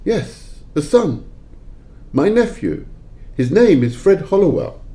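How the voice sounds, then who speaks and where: An old miser, wistful and defensive, acted by a man in an audio play. The voice is fairly high and soft-edged, breaking into emotional exclamations with very lively intonation. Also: slow pace, full character voice. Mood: subdued, earnest. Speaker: amateur library staff actor.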